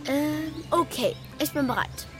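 A female performer plays a patient young girl in a children's radio play. The voice is high and takes its time. Music plays clearly behind it. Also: animated, measured pace. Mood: hesitant. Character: young girl playing hide-and-seek, compliant, patient.

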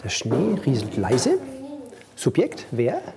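A man's voice: medium pitch, wildly swooping pitch, slow, gravelly, background noise. A middle-aged man, a music and German teacher, explaining things in spoken, unscripted style.

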